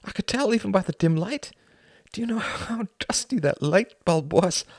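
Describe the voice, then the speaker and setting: A man voicing an anguished, frantic young man in an audiobook, with a high, strained, wailing delivery and breathy gasps. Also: animated, very slow, fairly bright tone, dry recording, studio quality, heavily acted.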